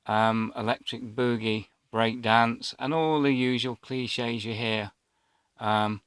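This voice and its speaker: A man's voice: medium pitch, fairly even intonation, slow, fairly bright tone, smooth, no echo. A middle-aged man telling a personal story casually and unscripted, in a hesitant, rambling way. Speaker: vlogger.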